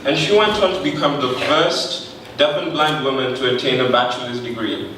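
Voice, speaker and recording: A young man from Kenya with a Kenyan accent, whose first language is not English, giving an earnest, measured pitch at a podium. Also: fairly bright tone, slight background noise. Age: young adult. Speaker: student pitching an innovation. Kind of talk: prepared pitch speech. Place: large bright indoor hall at a podium. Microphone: podium microphone, recorded from far off. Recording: echoey hall from far off.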